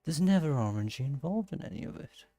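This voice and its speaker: A man's voice: fairly high pitch, big pitch swings, fast, mellow, no echo, faint music behind. A man giving casual live gameplay commentary, thinking aloud and exclaiming to himself as he plays. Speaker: gaming streamer playing and commenting.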